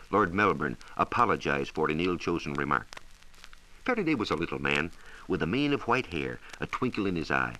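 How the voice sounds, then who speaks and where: An American man presenting a scripted 1940s educational radio science talk with measured, emphatic storytelling. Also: sits low, sharp tone, poor audio. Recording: hissy 1940s radio transcription recording.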